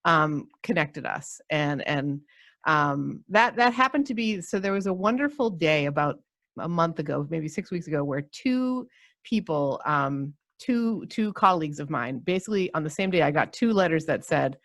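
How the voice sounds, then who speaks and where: Lively, chatty woman podcast host. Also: low-pitched, moderate pace, no room sound, studio quality. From United States. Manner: chatty, enthusiastic, rambling. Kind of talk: casual podcast interview.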